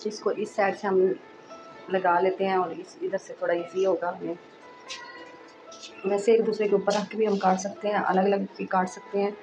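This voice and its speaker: A woman hosting a sewing tutorial, speaking in a casual, reassuring way while she explains each step, with a hoarse voice from a sore throat. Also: medium pitch, little pitch movement, normal speed, over music, rough recording. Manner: chatty and reassuring, frequent "accha ji".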